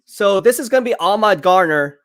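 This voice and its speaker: A man live-streaming football draft commentary, excitable and hyping each pick, his voice going hoarse. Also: high voice, fairly quick, no echo, studio quality. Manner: excitable, hypes picks, reads chat aloud. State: losing his voice.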